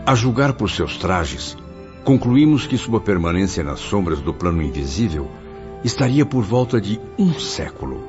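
A Brazilian man playing a grave, compassionate spirit recounting his memories in a radio drama, solemn and measured. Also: low-pitched, big pitch swings. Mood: solemn, moved. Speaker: narrator character in a radio drama. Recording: dull lo-fi audio under music. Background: background music.

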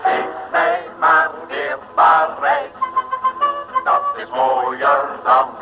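A man's voice: medium pitch, steady tone, very slow. A man singing a musical interlude in an old radio serial. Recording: dull, echoey old recording with music. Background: loud flute and instrumental music.

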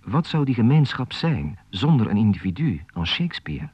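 Husky, impassioned debater. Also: male voice, lowish voice, big pitch swings, moderate pace, a little mellow. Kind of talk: radio play acting. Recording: dull 1980s radio drama recording.

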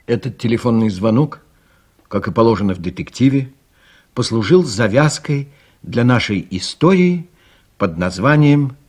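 A man's voice: medium pitch, lively intonation, normal speed, darkish tone, breathy edge. A man narrating a scripted comic story as the storyteller of an audio play.

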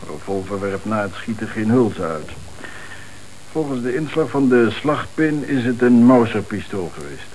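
An actor playing a precise, factual police forensic investigator in a radio play. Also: male voice, mid-range voice, big pitch swings, moderate pace, darkish tone, lightly acted, low-quality recording. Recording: hissy old radio play recording.

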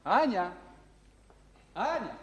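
An actor playing a genial, lively subordinate soldier with a husky voice in a wartime radio drama. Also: male voice, high voice, extremely slow, big-room sound, slight background noise, full character voice. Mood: hospitable, cheery.

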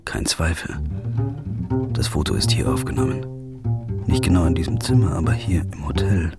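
A man narrating in the first person in an audio drama, hushed and brooding. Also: deep voice, expressive, moderate pace, loud music behind the voice. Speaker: narrator and lead actor.

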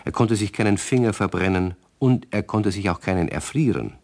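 A man's voice: fairly low pitch, a little fast, darkish tone. A man narrating a children's radio play, speaking directly to the young listeners.

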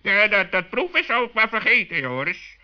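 A man's voice: high voice, very animated, normal speed, sharp tone, voice pushed up, heavily acted. A man voicing a suspicious, sensible raven in a children's radio play, indignant and matter-of-fact. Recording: muffled vintage 1960s radio recording.

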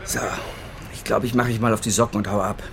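A man's voice: fairly low pitch, steady tone, quick pace, crowd noise, natural voice. An actor playing a chatty, teasing male co-worker in a radio drama, talking in a familiar, bantering way. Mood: teasing, casual. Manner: colloquial, bantering. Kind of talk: German radio drama acting.

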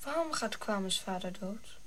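A woman's voice: mid-range voice, lively intonation, measured pace, fairly bright tone, a little hiss or hum. A woman playing an anxious, curious young African boy in a radio drama, with a simple childlike way of questioning.

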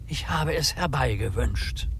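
An actor in a German radio drama playing an odd undertaker, earnest, brooding and insistent. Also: male voice, medium pitch, expressive, measured pace, a bit bright, a little hiss or hum. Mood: agitated, insistent. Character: odd, obsessive male undertaker with medical ambitions.

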